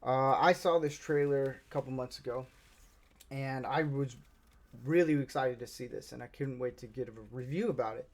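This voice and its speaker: A man's voice: medium pitch, normal speed. Chatty Southern American man reviewing movies. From Southern United States. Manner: chatty, rambling, many restarts. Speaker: movie reviewer, channel host. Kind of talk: casual spoken movie review.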